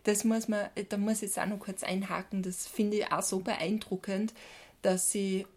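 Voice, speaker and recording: A woman with an Austrian accent and a medium-pitched voice, talking as a podcast co-host. Her delivery is casual and conversational, with playful interjections. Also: flat delivery, normal speed.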